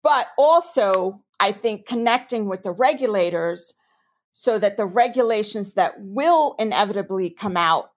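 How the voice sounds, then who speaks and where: A woman from New York with a New York accent, answering interview questions as a compliance officer in a measured, explanatory way. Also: mid-range voice, expressive. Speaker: chief ethics and compliance officer.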